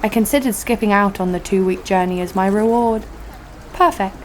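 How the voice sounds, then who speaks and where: A woman's voice: mid-range voice, normal speed, slight background noise, close to an everyday voice. A woman playing a cocky, foul-mouthed adventurer, narrating and speaking in an audio drama with a sardonic manner. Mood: wry narration. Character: brash, sarcastic, foul-mouthed female adventurer narrating her exploits. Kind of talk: first-person audio drama narration and dialogue.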